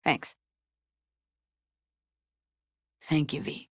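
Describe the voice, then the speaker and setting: A woman's voice: a little slow, fairly bright tone, no echo, studio quality, natural voice. A woman voice-acting a tough, blunt but tender-hearted young techie in a video game, with clipped, slangy, sweary phrasing. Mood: soft, worried.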